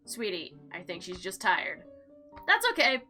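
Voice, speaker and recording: A woman hosting a let's play, reading game text aloud with expressive, slangy commentary and sarcastic asides. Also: mid-range voice, unhurried, over music. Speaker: gaming video host.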